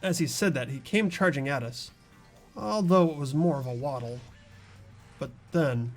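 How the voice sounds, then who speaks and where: Man as an earnest young student narrator, higher-pitched. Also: moderate pace, faint music behind. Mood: tense narration. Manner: earnest, dramatic storytelling. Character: earnest teenage boy student narrating his story. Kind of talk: scripted narration of a game.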